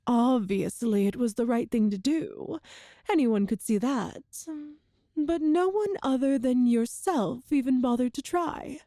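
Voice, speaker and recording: A woman playing a cruel, seductive empress in a dramatic ASMR roleplay, with haughty, theatrical, deliberate phrasing. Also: fairly high pitch, expressive, mellow, no echo, studio quality. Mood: taunting, coaxing. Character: cruel imperious empress, seductive, menacing tyrant.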